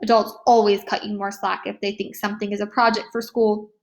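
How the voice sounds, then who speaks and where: A woman reading a children's novel aloud as an expressive first-person storyteller. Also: medium pitch, fairly quick. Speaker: read-aloud narrator.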